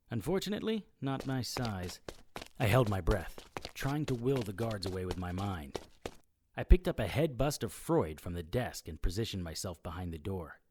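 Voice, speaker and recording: Guy acting as a sarcastic noir detective, wisecracks a lot, very animated pitch, audio drama style. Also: noisy background. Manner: wry, sarcastic. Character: wisecracking, sardonic immortal male detective. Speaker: lead character and narrator. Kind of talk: first-person noir audio drama narration and dialogue.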